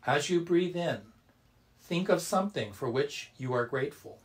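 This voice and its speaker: Measured man leading a reverent Buddhist service. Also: fairly high pitch, lively intonation, low-quality recording. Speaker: Buddhist temple service leader. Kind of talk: reverent recitation and guided meditation.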